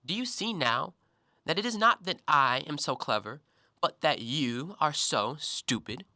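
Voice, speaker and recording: An actor playing a blunt, self-assured, observant intellectual in an audio play, speaking in an emphatic, accusing way. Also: male voice, fairly high pitch, expressive, unhurried, bright, forceful tone, dry recording, clean studio sound, heavily acted. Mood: probing, triumphant. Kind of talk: stage-play dialogue in an audio drama.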